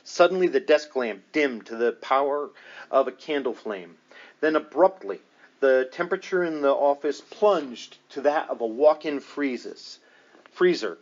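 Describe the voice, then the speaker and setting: A man's voice: mid-range voice, animated, unhurried, highs cut off. A man reading a paranormal book aloud as scripted narration, with a dramatic storytelling delivery. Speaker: audiobook reader.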